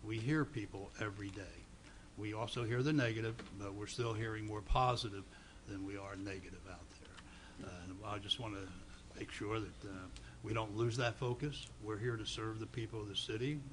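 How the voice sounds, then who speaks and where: Hoarse older vice mayor guy, low voice, stammery folksy, humming room noise. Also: steady tone, moderate pace, fairly bright tone. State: hoarse from a cold. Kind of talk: council meeting remarks. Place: council chamber, seated at dais. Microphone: desk microphone.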